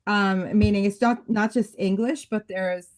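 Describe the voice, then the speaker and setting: A woman co-hosts a casual live-stream chat. She mostly chimes in with short reactions and adds scholarly asides about language. Her pitch sits mid-range. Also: fairly even intonation, measured pace, a little mellow.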